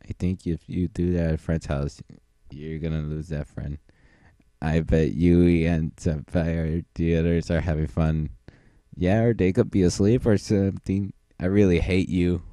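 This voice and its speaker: Slow deep guy goofing thru a homemade parody dub, crude silly ad-libs, super mellow tone. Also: flat delivery, no echo. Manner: silly, repetitive nonsense ad-libbing; crude joking. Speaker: amateur fandub voice performer. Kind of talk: goofy improvised parody dubbing.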